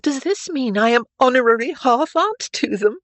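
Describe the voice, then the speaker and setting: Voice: high voice, expressive, normal speed, fairly bright tone, dry recording, voice pushed up. A narrator voicing an eager, chatty, polite teenage girl of the gentry in an audiobook. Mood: eager, teasing. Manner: earnest, polite, chirpy. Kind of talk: audiobook character dialogue.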